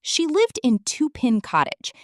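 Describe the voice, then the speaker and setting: A woman's voice: high-pitched, huge pitch swings, a little slow, no echo, clean studio sound. A woman storyteller reading a children's book aloud theatrically, addressing the listener directly.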